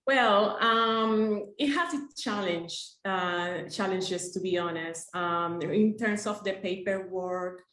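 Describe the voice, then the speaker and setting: Slow-talking woman in her thirties, roomy sound. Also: mid-range voice, fairly bright tone. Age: 30s. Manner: hesitant, many fillers. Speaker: deputy manager. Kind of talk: interview answers. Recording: roomy echo.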